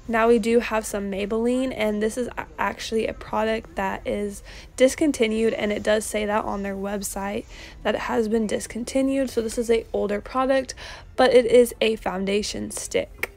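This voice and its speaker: A young woman narrating a casual shopping haul, chatty and relaxed, with lots of ums and likes. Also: a little high. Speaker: vlogger.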